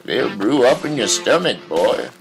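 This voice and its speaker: An actor playing an unhelpful folksy man, delivering comic audio drama lines deadpan. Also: mid-range voice, measured pace, poor audio. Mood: deadpan, smug. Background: guitar music.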